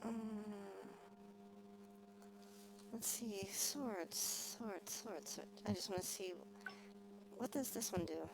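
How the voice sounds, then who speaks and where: A woman's voice: mid-range voice, takes it slowly, vocal fry, long pauses, background noise. A woman streaming a game with casual commentary, thinking aloud in a rambling, hesitant way. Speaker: streamer, vtuber host. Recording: game music playing behind the voice. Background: video game music.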